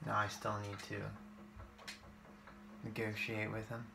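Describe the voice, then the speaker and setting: A man's voice: on the low side, monotone, a little slow, vocal fry. A man giving casual, informal gameplay commentary, thinking aloud as he plays. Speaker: gaming video creator. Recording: game music behind the voice. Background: video game music.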